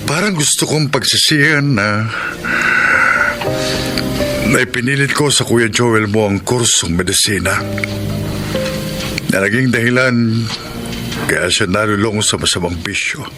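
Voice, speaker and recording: An actor playing a worried, regretful middle-aged father in a radio drama, speaking in a low, sombre voice. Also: unhurried, long pauses, natural voice. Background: music.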